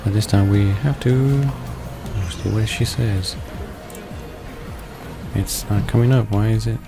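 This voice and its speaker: Low-voiced man reading game text haltingly. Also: gravelly. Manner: halting, stumbles and repeats words. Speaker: let's player. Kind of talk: reading visual novel text aloud. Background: game background music.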